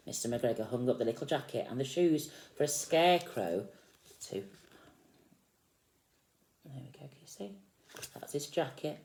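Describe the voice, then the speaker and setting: Woman, very deep voice, teacher-style storybook reader for young children, expressive delivery, roomy echoey sound. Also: a little slow, pauses often, a little hiss or hum. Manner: expressive, explaining gently to children. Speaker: teacher reading a picture book to young children. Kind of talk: animated storybook read-aloud with explanatory asides.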